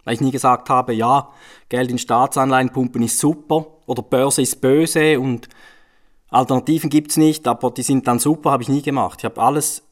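A man's voice: medium pitch, fairly even intonation, moderate pace. A man answering questions as an interviewee, explaining himself in a conversational way.

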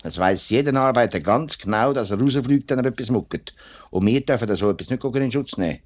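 An actor with a Swiss German accent playing a straightforward, conscientious factory workers' representative in a 1950s radio drama, speaking plainly and earnestly. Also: male voice, mid-range voice, lively intonation, a little fast, close to an everyday voice. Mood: regretful but firm. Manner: plain-spoken, earnest, colloquial. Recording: noisy old 1950s radio recording, clicks.

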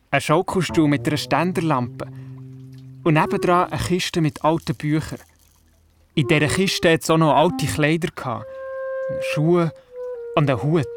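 An actor with a Swiss German accent playing a curious, imaginative grandson who narrates his adventures in a radio play. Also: on the high side. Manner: inquisitive, childlike storytelling. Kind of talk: scripted first-person radio play narration.

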